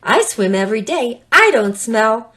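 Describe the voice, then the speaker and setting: A woman's voice: a little high, big pitch swings, a little slow, bright, forceful tone, heavily acted. A woman voicing a small, earnest male skink, shy but indignant, exclaiming and protesting in a storybook tale.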